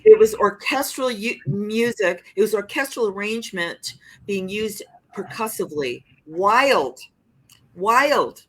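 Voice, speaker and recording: A woman's voice: mid-range voice, expressive, takes it slowly, low-quality recording. An older woman, a singer-songwriter, talking reflectively and expressively about her craft in a podcast interview, often restarting her sentences.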